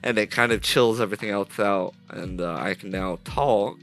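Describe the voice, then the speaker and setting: Male streamer, low-set but bright, slightly stuffy voice; rambling, upbeat live chatter on a headset mic over soft jazz. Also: moderate pace. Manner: rambling, self-correcting, upbeat. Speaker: streamer building a game asset live. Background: soft jazz music playing.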